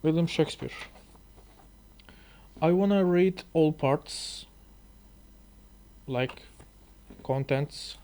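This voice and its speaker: Very slow, halting Turkish-accented man. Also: a little high, warm tone, a little hiss or hum. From Turkey. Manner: hesitant, many pauses and fillers, apologetic. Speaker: YouTuber learning English. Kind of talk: halting casual reading vlog.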